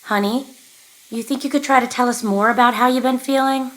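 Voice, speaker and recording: A woman playing a supportive, concerned mother in an audio drama, speaking gently and carefully. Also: fairly high pitch, fairly even intonation, brisk, natural voice, low-quality recording. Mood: concerned, gentle. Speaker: actress in a scripted podcast drama. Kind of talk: naturalistic audio drama acting.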